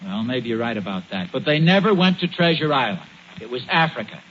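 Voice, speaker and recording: A man's voice: mid-range voice, lively intonation, fairly quick, close to an everyday voice. An American actor playing a genial but stubborn suburban father in a 1940s radio comedy, often hesitant and stammering when flustered. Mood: insistent, argumentative. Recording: noisy, muffled 1940s radio recording.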